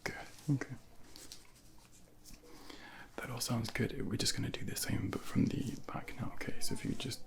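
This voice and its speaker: A man murmurs close to a binaural microphone, often slipping into a whisper. His voice is breathy and full of deep vocal fry. He plays a junior doctor in an ASMR exam, giving gentle, reassuring instructions one step at a time. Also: huge pitch swings, moderate pace, pauses often. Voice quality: breathy, whispery, with heavy creak. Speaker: ASMR performer role-playing a junior doctor. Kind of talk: soft-spoken ASMR medical role play.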